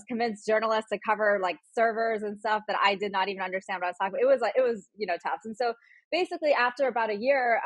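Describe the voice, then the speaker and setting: Brief: woman in her 40s, journalist guest, rapid chatty storytelling, dry close mic. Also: medium pitch, fairly bright tone, no echo, rough recording. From United States. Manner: quick, chatty storytelling with frequent "like". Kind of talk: casual interview conversation.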